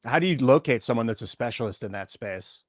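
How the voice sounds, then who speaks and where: Halting male podcast host, very dry sound. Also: medium pitch, lively intonation, no room sound. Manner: halting, searching for words, many fillers. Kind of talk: casual interview questions. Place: private closed room.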